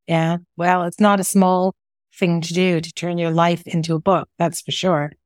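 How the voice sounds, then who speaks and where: A woman's voice: fairly low pitch, flat delivery, moderate pace, no room sound, clean studio sound. A woman hosting a podcast interview, asking questions and giving short, encouraging replies. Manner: brief, encouraging responses and questions. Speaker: podcast host.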